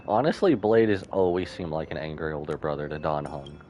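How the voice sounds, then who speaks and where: A man's voice: very deep voice, brisk, a little mellow. A man giving casual live reaction commentary as a game streamer, slangy and speculating aloud.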